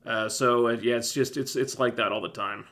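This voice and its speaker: Guy on a livestream, scratchy phlegmy throat, rambly laid-back chat, average pitch. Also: normal speed. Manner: relaxed, rambling, self-interrupting. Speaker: livestream host reviewing TV shows. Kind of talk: casual livestream chat answering viewers.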